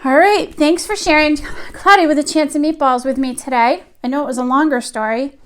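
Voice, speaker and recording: High-pitched woman reading a children's story. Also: fairly even intonation, a little fast. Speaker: children's story reader, teacher. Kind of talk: read-aloud children's storytelling.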